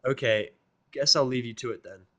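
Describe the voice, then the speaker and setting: A man playing a gruff, cynical, reluctant helper, speaking curtly and dryly in a fan audio drama. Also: medium pitch, normal speed, fairly bright tone, lightly acted. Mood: curt, cold. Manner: curt, dry, grunting.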